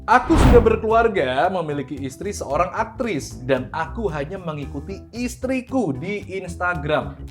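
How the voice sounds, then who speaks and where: Indonesian man, game show host, high pitch, swinging intonation, music behind. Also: big pitch swings, moderate pace. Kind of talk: lively quiz hosting.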